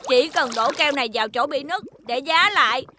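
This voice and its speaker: A woman's voice: sits high, lively intonation, fairly quick, over music. A woman voicing a clever, take-charge child hero in a children's cartoon, earnest and decisive. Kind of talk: animated children's series dubbing.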